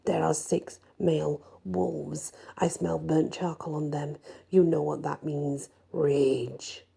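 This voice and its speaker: A woman voicing a wary, hungry inner wolf in a fantasy story, grumbling and urgent in a hushed way. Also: deep voice, steady tone, slow pace, gravelly, slightly rough, heavily acted.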